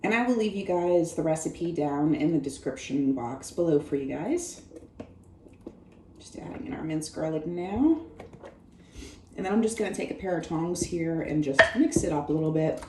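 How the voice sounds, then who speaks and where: Hoarse woman hosting a home cooking show. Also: sits low, lively intonation, normal speed, echoey room, slight background noise. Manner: friendly, chatty, talks viewers through each step. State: hoarse, losing her voice. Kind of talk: casual cooking demonstration. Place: home kitchen.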